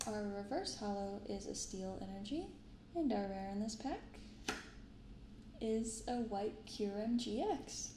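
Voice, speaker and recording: A young adult woman speaking softly and unhurriedly in a hushed ASMR style as she hosts a casual show-and-tell. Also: mid-range voice, expressive. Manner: hushed, unhurried reading aloud and chatting. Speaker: YouTube card-opening host. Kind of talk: soft-spoken ASMR, casual commentary. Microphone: close binaural microphone. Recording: roomy sound with clear background noise.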